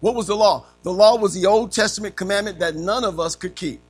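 A man's voice: high voice, a little fast, faint music behind. Folksy man teaching, saying amen a lot. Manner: punctuates with frequent amens, calls to the class. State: slightly raspy from allergies. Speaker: Sunday school teacher.

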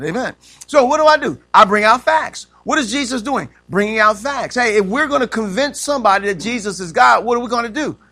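A man's voice: high-pitched, bright, forceful tone. A man, preaching a sermon as pastor, punctuating points with "amen" and rising emphatic questions. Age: 50s.

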